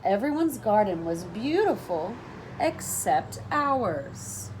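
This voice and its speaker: A woman teacher reading a children's story aloud in an expressive, deliberate manner, with animated phrasing. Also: medium pitch, poor audio. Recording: noticeable background noise.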